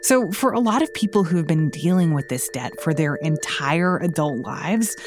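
A woman hosting a news podcast, introducing the show and interviewing a reporter in a clear, measured way. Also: sits low, expressive, darkish tone, soft background music. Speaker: podcast host.

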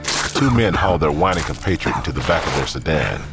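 A man's voice: very deep voice, very animated, fast, music in the background. A man narrating a scripted audio drama as a thriller storyteller.

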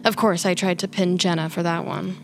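A woman's voice: fairly low pitch, fairly even intonation, brisk, gravelly. A woman playing a flippant young office worker who is a killer, chatty and slangy, in an audio drama. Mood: wry storytelling. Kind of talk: conversational audio drama acting and first-person narration.

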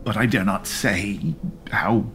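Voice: low voice, fairly even intonation, slow, slight background noise, exaggerated voice. An actor as Northumberland, a scheming noble. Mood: conspiratorial. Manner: grave, turns to a hushed whisper. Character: Northumberland, a plotting rebel nobleman. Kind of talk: Shakespearean audio drama.

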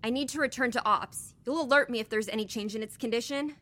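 A woman's voice: on the high side, quick pace, fairly bright tone, lightly acted. A woman playing a curt, businesslike chief science officer in a sci-fi audio drama, clipped and commanding. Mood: brisk, curt. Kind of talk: audio fiction acting.

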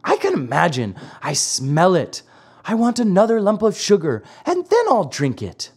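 A narrator voicing a whiny, stubborn little wooden boy marionette in a high, squeaky, childlike storybook voice. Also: wildly swooping pitch, moderate pace, slightly rough, exaggerated voice. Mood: complaining. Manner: whining, childlike, pleading.

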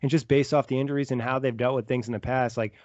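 A man co-hosting a casual sports podcast, talking quickly and agreeably with many fillers, on a dry lo-fi recording. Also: medium pitch, fairly even intonation, a little mellow, dry recording. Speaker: podcast co-host. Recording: dry, dull lo-fi remote audio.

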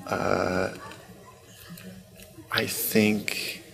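A man's voice: fairly low pitch, little pitch movement, drawn-out words, vocal fry, fairly bright tone. A man hosting a hospital podcast, introducing guests and asking them upbeat, friendly questions. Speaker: podcast host.

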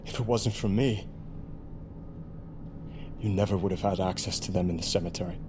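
A man's voice: low-pitched, normal speed, background noise, natural voice. A man playing a defensive American turncoat federal agent in an audio drama.

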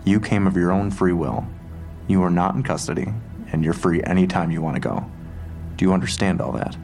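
Police detective, deep voice, creaky, steady, procedural questioning, audio drama, music behind. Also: male voice, brisk. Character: steady, probing male police detective interviewing a witness.

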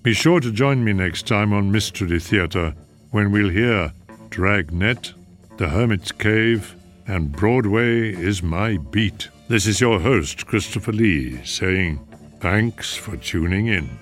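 A man's voice: on the low side, animated, takes it slowly. A man hosting a radio program in a scripted presenting style. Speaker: host. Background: music.

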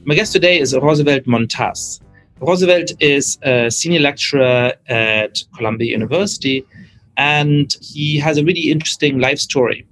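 A man hosting a podcast, introducing his guest and asking about his life in an earnest, measured way. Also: medium pitch, fairly bright tone, low-quality recording. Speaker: podcast host. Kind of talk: podcast interview.

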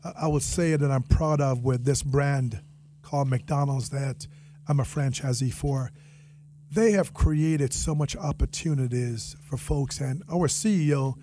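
A man's voice: mid-range voice, a little slow, dark tone, slight background noise. A man with a Jamaican accent speaking earnestly as a guest in a conversational interview, repeating phrases for emphasis. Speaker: interview guest, restaurant franchisee and entrepreneur.

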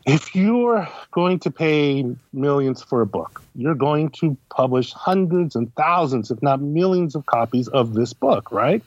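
Higher-voiced man explaining in dull audio. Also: expressive, unhurried, few pauses, no room sound. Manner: conversational, poses questions and answers them himself. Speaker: guest, critic and editor. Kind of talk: casual explanatory interview talk. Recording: slightly dull, lo-fi podcast audio.

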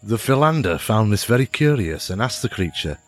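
A man's voice: on the low side, big pitch swings, normal speed, no room sound. A man acting as a storyteller in an audio drama, narrating a fable in a dramatic storybook style. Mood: measured storytelling. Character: man telling a fable to a youngster. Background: music.

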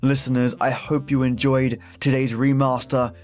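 Male podcast host, medium pitch, theatrical and effusive outro narration over soft guitar music. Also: level tone, normal speed. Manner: dramatic, effusive. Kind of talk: scripted narration, show outro.

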